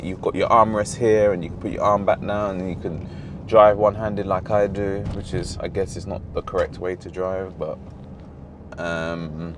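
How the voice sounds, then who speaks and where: A very deep-voiced man talks casually while driving, rambling and correcting himself, his voice slightly hoarse. He is recorded inside a moving car. Road and engine noise hum clearly underneath. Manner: rambling, self-correcting, easygoing. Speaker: car reviewer, vlog host.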